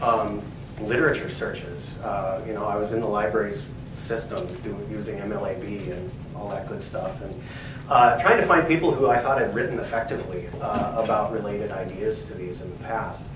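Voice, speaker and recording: A male professor giving a casual, thoughtful talk at a library event, pausing often with fillers. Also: on the low side. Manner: thoughtful, hesitant, many fillers. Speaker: professor, co-editor. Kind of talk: conversational academic talk. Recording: echoey room, distant mic, background noise.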